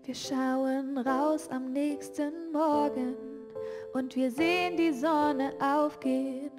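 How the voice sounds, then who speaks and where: A woman singing a slow, simple love song with musical accompaniment. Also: high-pitched, steady tone, music in the background. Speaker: singer. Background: loud musical accompaniment.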